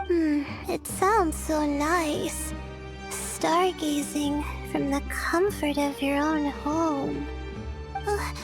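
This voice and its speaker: A woman voicing a shy young female student in a video game, hesitant, soft-spoken and apologetic. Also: very high-pitched, full character voice. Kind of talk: animated video game voice acting.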